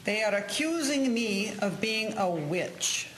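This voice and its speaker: A woman's voice: mid-range voice, lively intonation, slow pace, slight background noise, low-quality recording. A woman playing a proud farm woman accused of witchcraft in a historical drama. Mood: defiant.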